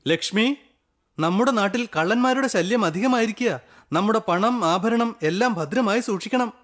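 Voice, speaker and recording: A man's voice: high voice, expressive, moderate pace, a bit bright. A man voicing a clever, witty and confident court jester in a children's cartoon. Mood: loud, scheming. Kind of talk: animated children's story dialogue.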